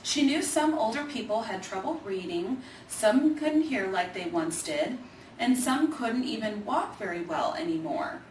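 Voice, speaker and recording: A woman reading a children's story aloud in an expressive, measured way, with emphasized phrases. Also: a little high. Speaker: Alzheimer's organization staffer reading a children's book. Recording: echoey room, distant mic, faint noise.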